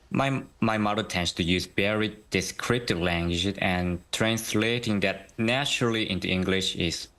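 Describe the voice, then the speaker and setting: A young man, a guest translator giving measured interview answers that often begin with "so". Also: lowish voice, fairly bright tone. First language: Korean. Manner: measured, starts many sentences with "so".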